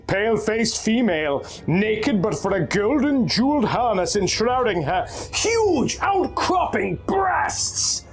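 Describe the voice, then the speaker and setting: A man reading pulp fantasy aloud in a theatrical, scripted way, drawing out words at the ends of phrases. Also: high voice. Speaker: narrator reading a story aloud.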